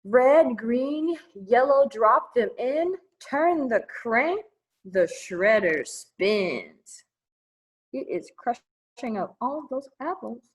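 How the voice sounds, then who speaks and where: A woman leading a children's storytime, reading a picture book aloud with chatty asides in an expressive, singsong manner aimed at young kids. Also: on the high side, slow pace, rough recording.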